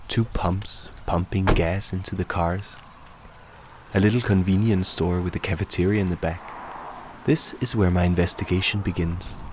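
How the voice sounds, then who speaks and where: A man from Denmark, a native Danish speaker, giving calm, reflective narration for a radio documentary. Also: low voice, noisy background.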